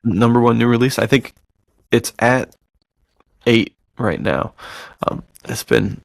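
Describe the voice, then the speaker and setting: A man's voice: mid-range voice, slow pace, vocal fry, dry recording. A man co-hosting a podcast in casual chat, with a slangy, bro-ish way of talking. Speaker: podcast co-host.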